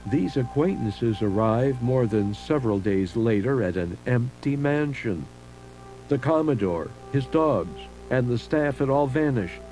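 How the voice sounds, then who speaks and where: A man giving a measured, solemn scripted narration of eerie local history, like a documentary host. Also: medium pitch, lively intonation, warm tone, poor audio. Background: music under the voice.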